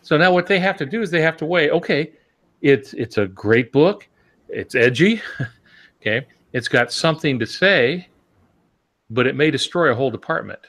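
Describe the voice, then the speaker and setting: A man's voice: a little high, expressive, normal speed, fairly bright tone. A man in a casual discussion, explaining step by step and posing rhetorical questions as he goes. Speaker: podcast panelist.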